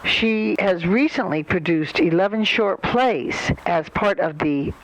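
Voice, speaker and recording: A woman's voice: on the low side, animated, slow, rough recording. Older woman hosting a radio interview. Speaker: host.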